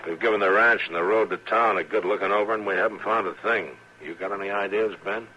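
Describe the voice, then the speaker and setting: An American actor playing a stern, terse frontier marshal in a 1950s western radio drama. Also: male voice, on the low side, fairly even intonation, fast talker, bright, forceful tone, slightly rough. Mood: businesslike. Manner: terse, commanding, dry. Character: stern, laconic frontier US marshal in his prime. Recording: noisy, dull old radio recording.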